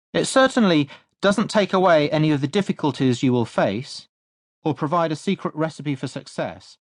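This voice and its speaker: Expressive higher-voiced man narrating an audiobook. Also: no echo, clean studio sound. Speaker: author narrating his own audiobook. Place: close, dry studio recording.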